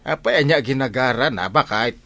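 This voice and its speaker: An actor playing an aging farmer, a resigned and grumbling village elder, in an Ilocano radio comedy drama. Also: male voice, medium pitch, a little fast, pushed and bright, soft background music. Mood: disapproving. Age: older.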